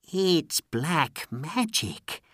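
A narrator voicing a sly, scheming vizier, quiet and insinuating, in a storybook. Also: mid-range voice, sing-song, extremely slow, slightly rough, dry recording, clean studio sound, pitched above the performer's own voice, full character voice. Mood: smug, sly.

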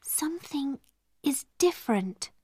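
A woman voicing a gentle, imaginative young girl in a story, earnest and full of wonder. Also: high voice, takes it slowly, no room sound, voice pushed up. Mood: hushed wonder.

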